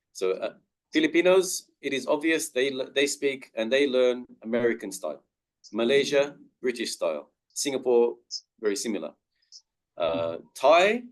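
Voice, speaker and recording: Man, Australian accent, webinar guest, careful clear explaining. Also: mid-range voice. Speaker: guest speaker. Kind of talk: webinar talk.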